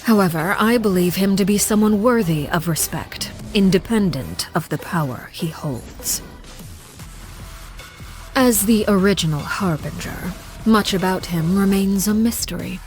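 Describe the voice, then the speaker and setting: A woman voicing a cold, composed, commanding woman in a video game, speaking in a measured, formal, aloof and quietly menacing way. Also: medium pitch, animated, faint music behind, lightly acted. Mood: cool, measured. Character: cold, composed, commanding woman, a Harbinger, judging her colleagues. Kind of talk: scripted video game character monologue.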